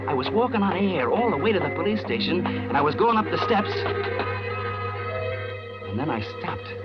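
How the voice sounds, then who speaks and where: A man's voice: on the high side, sing-song, moderate pace. An American man acting a scheming, jittery husband who narrates his crime in slangy, intense 1940s radio thriller style. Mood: shaken, despairing. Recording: muffled vintage radio recording with music.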